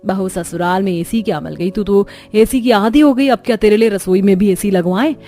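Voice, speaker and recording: A woman's voice: mid-range voice, normal speed, mellow, soft background music, close to an everyday voice. A woman voicing a disapproving older mother-in-law in a cartoon, stern, sarcastic and scolding.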